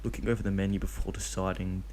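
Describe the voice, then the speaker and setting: A man's voice: low voice, flat delivery, quick pace, darkish tone, a little hiss or hum. A man reading fanfiction aloud with frequent slips and restarts, then chatting casually about it. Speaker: fanfiction reader.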